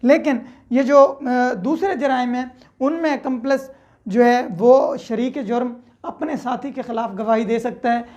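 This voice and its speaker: A woman's voice: a little high, a little slow, darkish tone. A woman with a Pakistani accent giving an explanatory lecture, methodically repeating key points and mixing in English legal terms. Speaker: law lecturer. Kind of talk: explanatory lecture with everyday examples.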